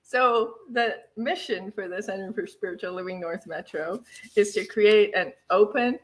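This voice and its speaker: A woman's voice: mid-range voice, normal speed, low-quality recording. A woman minister hosting a spiritual service with casual announcements and chatty, giggly asides.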